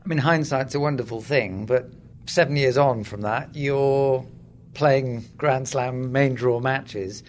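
A man interviewing someone conversationally, putting articulate, probing questions in a measured way. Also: medium pitch, fairly even intonation, a little hiss or hum. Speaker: interviewer, sports journalist.

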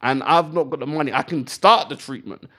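A young man in casual comic chat, animated and joking, slangy, repeating phrases for emphasis as a guest comedian. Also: on the high side, fairly quick. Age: young adult. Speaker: stand-up comedian, radio guest.

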